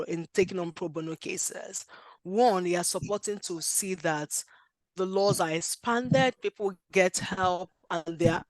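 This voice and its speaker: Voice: medium pitch, dry recording, rough recording. Nigerian lawyer at a workshop, earnest, lots of ums, dry sound. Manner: earnest, many "um" fillers and restarts. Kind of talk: conference speech.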